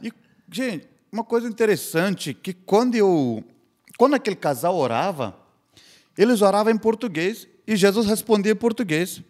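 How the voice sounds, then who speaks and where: A man's voice: high-pitched, animated, moderate pace, a little mellow. Indian man telling his story earnestly. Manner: earnest storytelling in simple, slightly broken Portuguese. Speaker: missionary guest. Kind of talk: personal testimony in a podcast chat.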